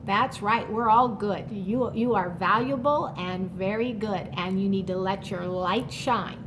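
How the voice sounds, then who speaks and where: A woman reading a picture book aloud to young children, pausing to explain it simply and speaking to the listener in an encouraging way. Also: medium pitch, noisy background. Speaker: storytime reader for children.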